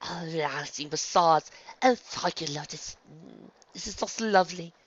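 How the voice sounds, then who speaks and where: A man's voice: a little high, huge pitch swings, fairly quick, a bit bright, dull audio, slight background noise, voice pushed up, heavily acted. A man voicing a refined, bossy, theatrically gracious lady pony who coaxes with genteel endearments. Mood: blissful. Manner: gushing, genteel, uses endearments.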